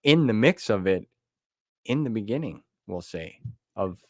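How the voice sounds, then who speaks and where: Male podcast host, deep voice, close dry sound, casual and enthusiastic. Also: expressive, a little slow, a little mellow, dry recording. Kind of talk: casual chat.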